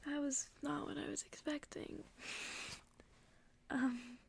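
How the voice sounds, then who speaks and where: A woman speaking in a soft, hushed whisper in the style of an ASMR bedtime reading. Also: high-pitched, level tone, slow, gravelly, a little hiss or hum. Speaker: ASMR creator reading stories to listeners.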